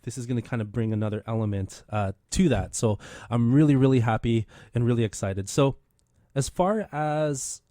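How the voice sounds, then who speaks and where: A man's voice: mid-range voice, normal speed, warm tone, no echo, clean studio sound. A man hosting a casual radio interview in an enthusiastic, encouraging way. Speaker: radio show host.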